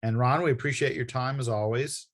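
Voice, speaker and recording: A man's voice: mid-range voice, fairly even intonation, fairly quick. Man, mid-range voice, affable, chatty, casual interview host. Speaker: interview host for a comics publisher. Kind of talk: casual promotional video interview.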